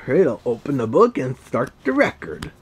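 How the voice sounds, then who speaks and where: A man's voice: medium pitch, very animated, moderate pace, husky. A man voicing a sarcastic male cartoon cat who reviews a children's read-along, talking with a slurred lisp and dry asides. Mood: wry. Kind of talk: fan review commentary in character. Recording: faint music underneath.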